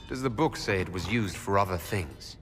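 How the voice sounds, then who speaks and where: An actor playing a caring male leader of a fantasy inquisition in a video game, gentle and questioning. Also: low-pitched, expressive, moderate pace. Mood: probing concern. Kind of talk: dramatic video game cutscene dialogue. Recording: reverberant hall, faint music behind.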